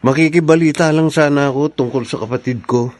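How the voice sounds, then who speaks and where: An actor playing a concerned, protective brother in a Filipino radio drama, earnest, with a Filipino accent. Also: male voice, on the high side, steady tone, a little fast, darkish tone, close to an everyday voice. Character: protective, concerned brother, serious young man.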